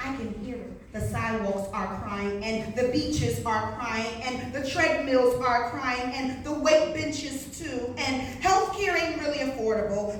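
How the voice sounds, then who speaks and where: An American woman performing spoken word poetry in a dramatic, rhythmic way, with short repeated phrases and pauses. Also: high voice, a bit bright, distant mic. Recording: echoey room from afar, faint noise.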